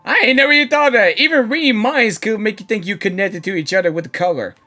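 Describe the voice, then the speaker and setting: A woman game streamer giving casual, rambling, excitable live reactions. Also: medium pitch, fast. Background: game music.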